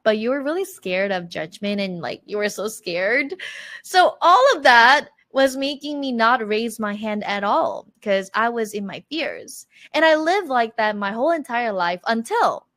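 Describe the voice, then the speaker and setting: A woman in her thirties leads an online language masterclass, her voice sitting a little high and swinging widely in pitch. She talks in an animated, encouraging way and acts out her own past words. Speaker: Korean language teacher.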